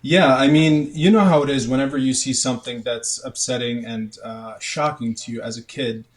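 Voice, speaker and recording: A young man from Canada answering interview questions in a halting way, with many fillers and restarts. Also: medium pitch, fairly even intonation. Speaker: guest, medical student.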